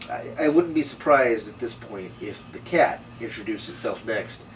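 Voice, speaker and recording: A man's voice: mid-range voice, lively intonation, normal speed, faint music behind, natural voice. A man playing a skeptical, wisecracking, weary detective at a script table read. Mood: weary, bemused. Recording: distant mic, noisy room.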